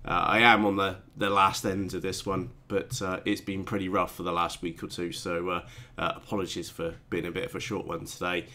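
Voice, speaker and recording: A man's voice: sits low, flat delivery, a little fast, bright, forceful tone, a little hiss or hum. A man hosting a casual hobby chat show, sounding blocked up and congested from a cold, rambling with many ums and restarts. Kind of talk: casual live-stream chat.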